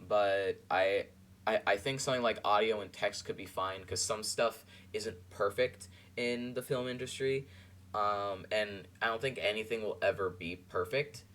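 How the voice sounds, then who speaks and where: A man hosting a podcast, reacting to a clip in a rambling, halting way with many fillers. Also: lowish voice, fairly bright tone. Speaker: podcast host. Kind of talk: casual commentary over a clip.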